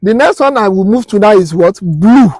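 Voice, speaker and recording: A man's voice: sits high, animated, moderate pace, a little mellow, no echo. A Nigerian man teaching a hands-on practical class as an instructor, explaining step by step and quizzing listeners with rhetorical questions. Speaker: technical instructor.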